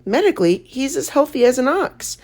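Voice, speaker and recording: Woman voicing a brisk, no-nonsense old country doctor. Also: sits high, sing-song, darkish tone, pitched above the performer's own voice, heavily acted. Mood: grave. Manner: curt, matter-of-fact. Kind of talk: children's storybook read-aloud.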